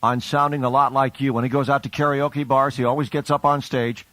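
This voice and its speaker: A man's voice: medium pitch, flat delivery, a little fast, a bit bright, no echo. A man hosting a morning radio show, chatting and introducing bits in on-air banter.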